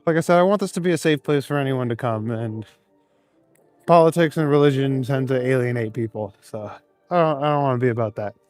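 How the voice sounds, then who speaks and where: A man chats through a live stream from cold city streets, answering his viewers as he goes. His voice sits at an ordinary middle pitch, and he chuckles and rambles easily between comments. Faint music plays behind him. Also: a little fast, a little mellow. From Canada. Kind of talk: casual live stream, reading chat aloud.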